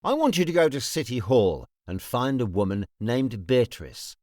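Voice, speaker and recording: An actor voicing a shrewd, authoritative lord in a fantasy audiobook, speaking formally and sternly. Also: male voice, medium pitch, very animated, moderate pace, a little mellow, dry recording, studio quality, natural voice. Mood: commanding. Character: commanding, shrewd male lord giving stern orders. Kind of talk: audiobook character acting.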